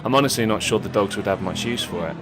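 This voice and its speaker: A man playing a hapless, low-voiced British protester. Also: fast talker, noisy background, lightly acted. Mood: mildly puzzled. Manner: hesitant, puzzled, deadpan questioning. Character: hapless, literal-minded reluctant protester, easily swayed. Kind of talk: naturalistic audio drama comedy dialogue.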